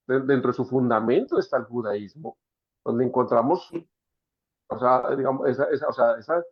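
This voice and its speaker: A man's voice: medium pitch, dull audio. A man from Colombia with a Colombian accent, a new participant in an online class, commenting in a rambling way with many restarts and fillers.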